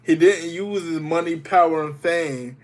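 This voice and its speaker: A man's voice: on the high side, unhurried. Man chatting live while over a cold. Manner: loose, rambling, greets chat by name. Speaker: livestreamer. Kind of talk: casual livestream chat with viewers.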